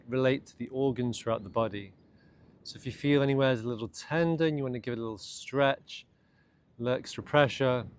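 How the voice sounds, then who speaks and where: A man leads a guided morning meditation and self-massage in a gentle, unhurried, soothing way, speaking in short phrases. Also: mid-range voice. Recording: clear steady background ambient noise.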